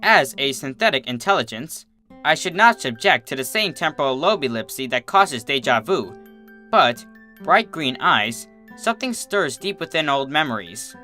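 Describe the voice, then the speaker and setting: A woman reading fan fiction aloud as expressive scripted story narration. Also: low voice, normal speed. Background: soft guitar music.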